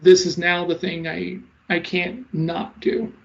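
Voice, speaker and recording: A man in his thirties who talks fast and stutters a little, giving casual interview answers as a sales coach. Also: high voice, flat delivery, vocal fry. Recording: slightly dull lo-fi audio.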